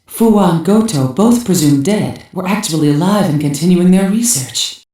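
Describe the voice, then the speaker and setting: In a very echoey, distant recording, an actress plays a cyborg squad commander with a lowish female voice. She is cool, composed and commanding, handing out terse orders and assessments in dubbed anime game style. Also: a little mellow, close to an everyday voice. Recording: roomy heavy echo, off mic.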